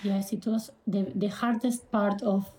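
A woman in her forties from Argentina, answering interview questions in a halting way, with frequent pauses and restarts. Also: medium pitch, steady tone, dark tone, echoey room. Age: 40s. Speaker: guest.

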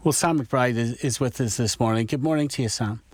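A man's voice: medium pitch, brisk, dry recording. A man hosting a morning radio interview, putting probing questions to his guest. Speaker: radio presenter.

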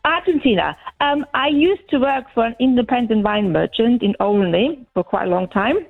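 A woman's voice: a little high, lively intonation, moderate pace, creaky, soft background music. A woman of sixty from Austria, a native German speaker, calling into a radio show with short friendly answers. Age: 60s. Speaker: caller. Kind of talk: phone-in chat. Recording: tinny phone line.

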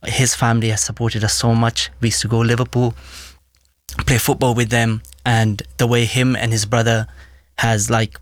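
A man's voice: medium pitch, little pitch movement, moderate pace, no room sound, studio quality. A young man from Pakistan whose first language is Pashto, speaking casually and reflectively as an interview guest. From Swat Valley, Pakistan. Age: young adult.

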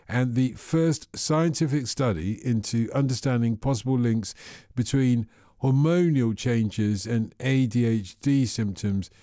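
A man's voice: mid-range voice, no room sound, clean studio sound. A man presenting an overnight radio phone-in, chatting casually and reading the news headlines. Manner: relaxed, chatty, quick asides.

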